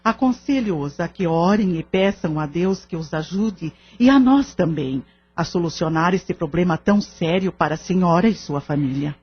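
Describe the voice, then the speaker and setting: Composed, kindly senior lady, Brazilian, reassuring and formal, slightly rough voice, radio-novela style. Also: fairly low pitch, animated, moderate pace, few pauses. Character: kindly, composed older lady of a spiritist centre. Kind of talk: audio drama acting in Portuguese. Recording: dull lo-fi audio drama recording.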